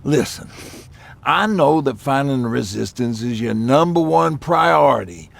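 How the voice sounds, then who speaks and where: A man's voice: on the high side, creaky, heavily acted. An actor playing a practical, wary male survivor who does repairs, talking casually and matter-of-factly in a video game. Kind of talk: video game drama dialogue.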